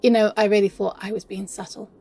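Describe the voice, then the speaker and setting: A woman playing a flustered, smitten, playfully scheming best friend in an intimate romantic audio roleplay, speaking in halting, stammering bursts. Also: medium pitch, level tone, dark tone, slightly rough. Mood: giddy, tender.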